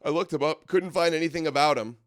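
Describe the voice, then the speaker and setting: A man's voice: fairly high pitch, quick pace, no echo, studio quality. A male host giving sarcastic, scoffing commentary over video clips. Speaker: show host.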